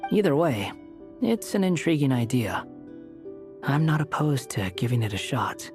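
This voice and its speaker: A man's voice: medium pitch, sing-song, unhurried, darkish tone. Aloof young man: mid-range voice, dry, pragmatic game delivery, music underneath. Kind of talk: video game character acting. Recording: music behind the voice.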